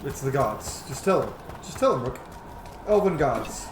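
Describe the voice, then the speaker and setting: Chatty, animated man in an echoey room. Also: medium pitch, moderate pace, background noise. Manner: informal, profane, chatty. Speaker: video game player commentating. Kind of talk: casual gameplay commentary. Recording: echoey room, game sounds behind.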